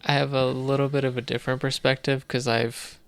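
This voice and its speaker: A man's voice: mid-range voice, level tone, fairly quick, vocal fry, clear, resonant tone, no echo. A man co-hosting a podcast in casual chat, thinking aloud as he talks. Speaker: podcast co-host.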